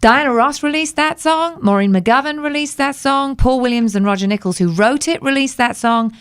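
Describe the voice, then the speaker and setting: A woman's voice: high-pitched, normal speed, smooth, no echo, clean studio sound. A woman singer being interviewed on the radio, reflective and telling anecdotes in a conversational way. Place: radio studio.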